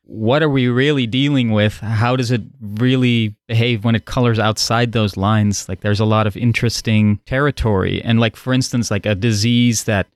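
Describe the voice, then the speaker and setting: Man speaking with a smooth, resonant voice. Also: fairly low pitch, steady tone, moderate pace, hardly any pauses, dry recording. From Netherlands. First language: Dutch. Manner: playful, musing, laughs often. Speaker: podcast guest. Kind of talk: casual roundtable chat.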